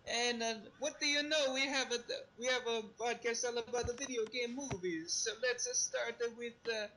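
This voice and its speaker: A man voicing a cheerful, excitable video game plumber in a bouncy, exclamatory manner. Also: extremely high pitch, normal speed, voice pushed up, exaggerated voice, low-quality recording. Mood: cheerful, celebrating. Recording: faint background noise.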